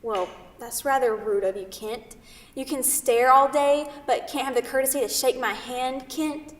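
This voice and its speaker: A brash city woman, acted by a woman in a staged play reading, needles and teases with pushy, playful sarcasm. Her voice sits on the high side and comes from far off in a very echoey hall. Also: unhurried. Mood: teasing, pushy. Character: brash, teasing New York City woman, a science scholar. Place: theatre, recorded from a distance. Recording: distant mic in echoey hall.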